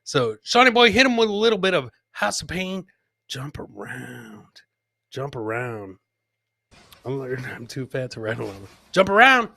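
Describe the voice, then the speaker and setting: A man hosting a casual, boisterous comedy show with loud, joking banter. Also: medium pitch, sing-song, a little slow, vocal fry, dry recording. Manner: profane. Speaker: podcast host.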